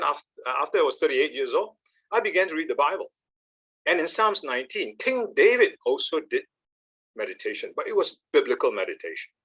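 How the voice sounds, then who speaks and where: A man, giving an animated, emphatic talk on meditation and often checking in with "all right?". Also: mid-range voice, breathy edge. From Malaysia. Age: 70s. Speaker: speaker on meditation. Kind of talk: talk with slides.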